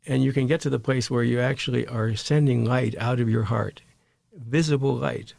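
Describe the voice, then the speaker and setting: A man speaking as an interview guest and meditation teacher, in a conversational, halting way that repeats words and phrases. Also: mid-range voice, steady tone, creaky, a little mellow, no room sound, clean studio sound.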